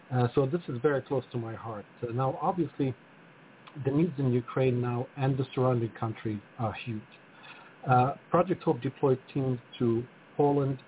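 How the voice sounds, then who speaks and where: A man's voice: medium pitch, slight background noise. A man from Lebanon, a charity president, answering interview questions earnestly with frequent fillers. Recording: tinny phone-like line with faint ambience.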